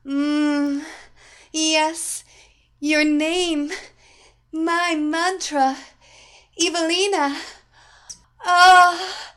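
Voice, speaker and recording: A woman voices a helpless, devoted superheroine under a trance in an audio story. The voice sits high and floats, with words drawn out very slowly and softly. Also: exaggerated voice. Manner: soft, dreamy repetition. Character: entranced young female superheroine, helpless and devoted.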